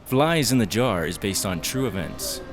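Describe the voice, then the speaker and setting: A man's voice: fairly low pitch, big pitch swings, moderate pace, music in the background. A man reading scripted announcements and credits as the announcer of a radio drama.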